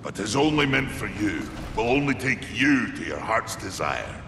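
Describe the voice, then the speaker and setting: A gruff ancient fantasy being, talks in a low, gravelly voice. His grave counsel comes slowly, echoing in a big noisy space. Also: big pitch swings. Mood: gruff, encouraging. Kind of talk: dramatic fantasy game acting. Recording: echoey hall with noisy ambience.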